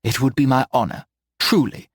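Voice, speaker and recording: A man's voice: medium pitch, normal speed, breathy edge, no room sound, clean studio sound, close to an everyday voice. A man reading aloud and voicing a kindly, motherly older village witch who speaks in an encouraging way. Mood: tender.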